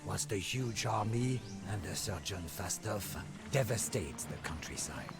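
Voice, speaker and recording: An actor playing a weary, devoted soldier narrating a storybook war chronicle in a grave, dramatic, measured manner, with a gravelly voice. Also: male voice, fairly low pitch, lively intonation. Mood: solemn, stirring. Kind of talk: solemn scripted storybook narration, theatrical. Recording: loud music under the voice.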